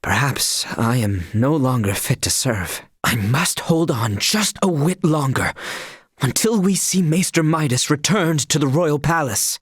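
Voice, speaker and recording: Young male knight, fantasy game: earnest, dutiful, archaic courtly phrasing, expressive range, dry studio sound. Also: a little high, dry recording. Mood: contrite, determined. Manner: formal, archaic courtly diction, solemn and measured. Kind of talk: scripted fantasy video game voice acting.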